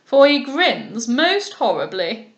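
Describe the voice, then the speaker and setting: A man's voice: very high-pitched, big pitch swings, slow, fairly bright tone, reverberant, heavily acted. A woman reader voicing a languid young lord who drawls his words. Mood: uneasy.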